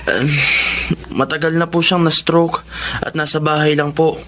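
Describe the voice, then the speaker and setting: An actor playing a polite, humble young Filipino student in a radio drama, respectful and earnest. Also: male voice, fairly high pitch, flat delivery, measured pace, a bit bright. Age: young adult.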